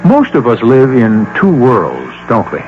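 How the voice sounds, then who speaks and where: Slow, dramatic male radio mystery host. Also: mid-range voice, wildly swooping pitch, a little hiss or hum. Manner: deliberate, philosophical, rhetorical questions. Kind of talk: theatrical scripted narration. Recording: dull old radio broadcast over music. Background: music.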